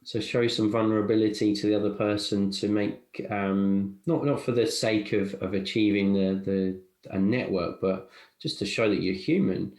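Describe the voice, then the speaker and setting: A man hosting a podcast, talking in a thoughtful, halting way that often repeats words. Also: fairly low pitch, steady tone, darkish tone. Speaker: podcast host. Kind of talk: casual podcast chat. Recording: echoey room.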